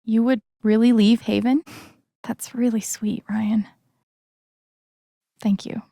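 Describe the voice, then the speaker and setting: A woman playing an earnest, wounded young woman in a naturalistic video game drama, speaking breathily with slow, deliberate, emotionally weighted phrasing. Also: on the high side, level tone, creaky, dark tone, dry recording, clean studio sound, heavily acted. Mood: tender, hurt. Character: earnest, wounded young woman confronting betrayal with quiet conviction.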